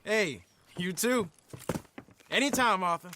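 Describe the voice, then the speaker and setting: High-voiced young American outlaw. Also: male voice, expressive, slow, background noise. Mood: friendly, alert. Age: young adult. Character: eager, sharp young male outlaw gang member. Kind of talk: naturalistic video game acting.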